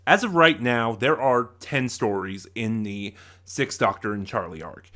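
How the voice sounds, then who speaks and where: A man's voice: on the low side, unhurried, bright, forceful tone. A man giving review commentary in an informal, explanatory way, correcting himself as he goes. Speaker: reviewer, channel host. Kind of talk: semi-scripted audio drama review commentary.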